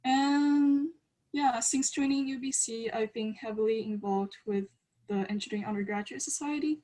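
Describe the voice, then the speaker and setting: A woman's voice: on the high side, normal speed, mellow, low-quality recording. A woman in her early twenties, from China and raised partly in eastern Canada, speaking informally as a student panelist. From China, then eastern Canada from age ten. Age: early 20s. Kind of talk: informal self-introduction on a panel.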